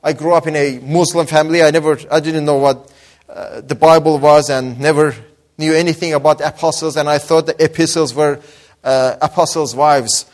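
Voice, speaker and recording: A man preaching in a slightly higher voice, his accent a blend of Azeri, Persian and Australian. He reads scripture slowly and prays, often pausing on fillers, then rises into forceful, emphatic points. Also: fairly even intonation. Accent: Azeri, Persian and Australian mix. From Azerbaijani-Iranian background. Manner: builds from soft opening to raised emphatic preaching; hesitant fillers between phrases. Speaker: guest preacher. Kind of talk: sermon with scripture reading and prayer.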